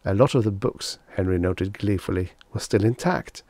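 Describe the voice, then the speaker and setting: A man narrating a story as an audiobook reader, measured and expressive. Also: fairly low pitch, no echo.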